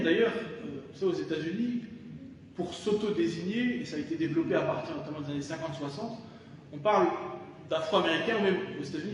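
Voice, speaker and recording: A man giving a public lecture. He talks in a thoughtful way, with frequent hesitations and restarts. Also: sits high. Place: lecture hall. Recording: echoey hall from far off, faint noise.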